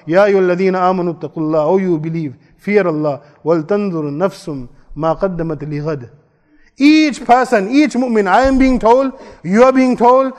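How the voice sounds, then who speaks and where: A man, the imam, giving an earnest Friday sermon in a mosque, repeating key phrases and quoting Arabic verses. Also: high-pitched. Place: mosque prayer hall. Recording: long hall reverb.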